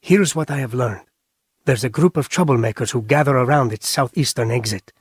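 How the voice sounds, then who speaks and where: An actor playing a guarded informant in a video game, husky and measured, with a dry, sceptical manner. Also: male voice, mid-range voice, no room sound, lightly acted. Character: guarded male assassin informant. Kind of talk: dramatic video game acting.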